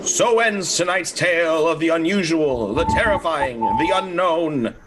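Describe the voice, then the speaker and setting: A man's voice: on the high side, a little slow, very noisy, natural voice. A man playing an ominous radio announcer, portentous and dramatic in an old-time style. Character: ominous male announcer of an eerie radio series.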